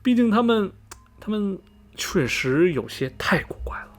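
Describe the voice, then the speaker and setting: Animated, gossipy middle-aged townsman played by a man. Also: sits high. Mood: uneasy, disgusted. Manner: gossipy, sighing exclamations, drawn-out dramatic phrasing. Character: gossipy middle-aged small-town Vermont man, superstitiously repelled yet skeptical. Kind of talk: radio drama acting, animated storytelling.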